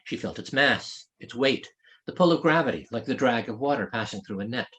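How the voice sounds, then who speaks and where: A man reads a fantasy novel as its author, in a scripted storytelling style. His voice sits at a middle pitch and moves up and down a good deal as the sentences unfold. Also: lively intonation, a little fast, sharp tone. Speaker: author reading own novel.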